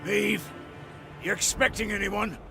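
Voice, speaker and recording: An actor voicing a gruff, blunt old dwarf elder in a fantasy video game, with a Scottish accent and gravelly voice. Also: male voice, sits high, steady tone, a little slow, bright, forceful tone, faint music behind, full character voice. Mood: stern, uneasy. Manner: blunt, folksy, bossy. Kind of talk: dramatic video game voice acting.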